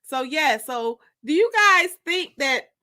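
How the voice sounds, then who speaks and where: Female story-time host, high-pitched and forceful, casual and gossipy, talking straight to her viewers. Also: expressive, slow pace. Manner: gossipy, informal, repeats phrases for emphasis. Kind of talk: casual livestream chat.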